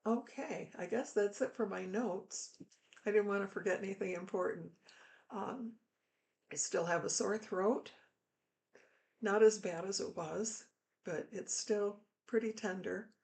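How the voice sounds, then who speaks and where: A middle-aged woman pastor, hoarse after throat surgery, giving a candid, chatty and reassuring health update to viewers. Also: mid-range voice, measured pace, a little mellow. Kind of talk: casual health update vlog.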